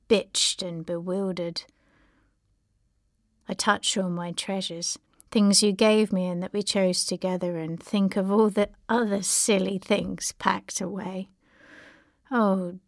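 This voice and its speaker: A woman reads letters aloud at a slow, lingering pace. Her delivery is dramatic and sometimes breathy, with a middle-range pitch and a dry, close sound. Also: no room sound. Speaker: reader of family letters. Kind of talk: expressive reading of letters with narration.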